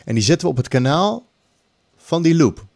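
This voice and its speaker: A man's voice: on the high side, big pitch swings, brisk, gravelly, darkish tone, no echo. A middle-aged man gives a casual, informal tutorial, slipping English phrases in, close to a condenser mic. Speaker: music production tutor. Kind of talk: casual screen-recorded tutorial. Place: small room at home. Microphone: large condenser mic on a boom arm, close up. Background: music loops.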